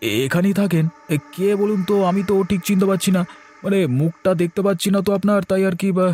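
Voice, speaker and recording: A man's voice: high voice, fairly even intonation, fast, darkish tone, soft background music, lightly acted. A man playing a jittery, chatty food seller in a horror cartoon, stammering and wheedling. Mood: nervous, suspicious.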